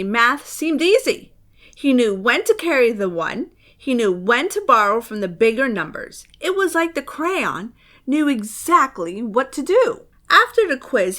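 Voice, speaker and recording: A woman narrates a spooky picture book for children. Her voice is high and very lively in pitch, and she takes her time. She is theatrical and suspenseful, leaning into hushed drama. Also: unhurried. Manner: expressive, suspenseful, often dropping to a dramatic whisper.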